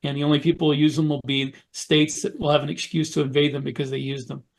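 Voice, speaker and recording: Guy with a higher voice on a podcast, blunt opinions, lots of uhs and likes. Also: level tone, vocal fry. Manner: opinionated, frank, halting with fillers. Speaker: podcast co-host and author. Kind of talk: casual panel discussion.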